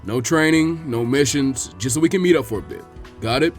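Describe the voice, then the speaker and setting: A man voicing a weary, sardonic adult ninja teacher with a gruff, dry delivery. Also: medium pitch, brisk, soft background music, lightly acted. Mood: laid-back.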